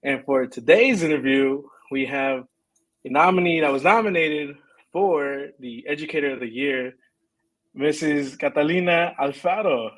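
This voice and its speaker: A man's voice: a little high, measured pace, fairly bright tone, rough recording. A young man hosting a casual interview, upbeat, laughing often and restarting his questions. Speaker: interview host.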